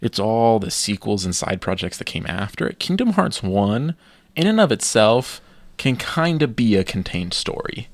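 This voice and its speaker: Low gravelly guy on a podcast, dry close mic, opinionated and stop-start. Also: very animated, dry recording. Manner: opinionated, halting, many restarts. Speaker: podcast co-host. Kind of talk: casual chat.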